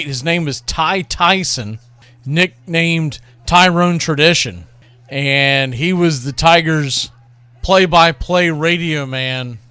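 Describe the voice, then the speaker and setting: A man's voice: fairly high pitch, pushed and bright, smooth, dry recording. A man hosting a radio show, talking casually and enthusiastically about classic baseball calls like a storyteller.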